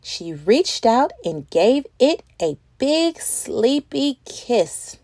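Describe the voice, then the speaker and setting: Lively, high-pitched woman reading a storybook. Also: extremely slow, slight background noise. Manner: animated, acts out words and sound effects. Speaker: children's read-aloud host. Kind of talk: expressive children's storybook narration.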